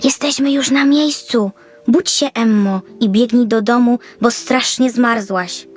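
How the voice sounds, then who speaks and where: Woman as a high-voiced friendly little elf boy. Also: measured pace, slightly rough, soft background music. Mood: cheerful, caring. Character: Fleffy, friendly little male elf balloon pilot, caring. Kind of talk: children's audio fairy tale.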